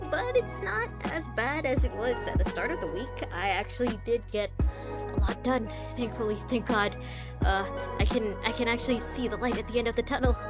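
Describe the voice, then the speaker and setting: A young woman streaming a game, chatting casually and animatedly with her viewers, laughing often and greeting them by name. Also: a little high. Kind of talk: casual live-stream chat.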